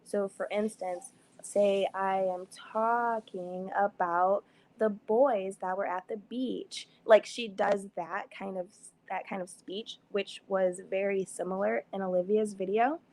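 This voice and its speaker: Chatty woman with a gravelly fry. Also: mid-range voice, fairly even intonation, takes it slowly, a little mellow, a little hiss or hum. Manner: chatty, explains at length, sprinkles in quoted thoughts. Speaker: caller on a live panel. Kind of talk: casual live-stream chat.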